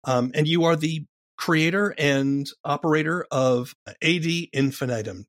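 A man's voice: a little high, a little slow, fairly bright tone, no room sound. Conversational man interviewing, very dry sound. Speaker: interviewer, podcast host. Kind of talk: casual podcast interview.

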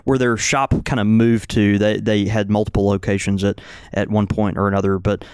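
A man's voice: on the low side, flat delivery, normal speed, clean tone, no room sound, studio quality. A man co-hosting a casual podcast, chatting in a wry, easygoing way. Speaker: podcast co-host.

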